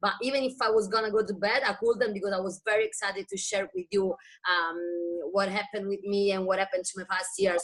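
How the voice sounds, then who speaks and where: A woman's voice: mid-range voice, poor audio. Guest speaker, woman with an Italian accent, eager storytelling over a video call. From Italy. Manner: warm, enthusiastic, a little broken English. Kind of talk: personal success story.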